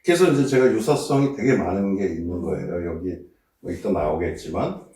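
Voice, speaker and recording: Low, mellow man in an echoey room. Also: animated. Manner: thoughtful, measured, lecturing on literature. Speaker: seminar participant. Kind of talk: casual book discussion. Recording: echoey room, distant mic.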